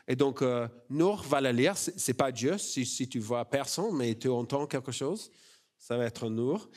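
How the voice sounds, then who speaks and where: Australian-accented man preaching slowly. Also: fairly high pitch. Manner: relaxed and personal, many fillers and self-corrections. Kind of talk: sermon.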